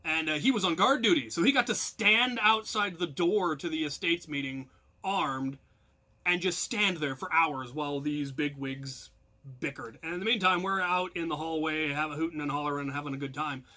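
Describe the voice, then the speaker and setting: Storyteller, male, American. Slightly high, crisp-edged bright voice; informal anecdotes delivered with animation and self-mockery. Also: moderate pace. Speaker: storyteller and channel host.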